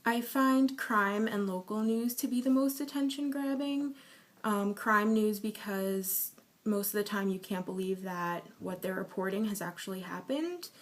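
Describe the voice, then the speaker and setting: A woman in her early twenties answering interview questions in a measured, explanatory way. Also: mid-range voice. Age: 20s. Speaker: survey respondent.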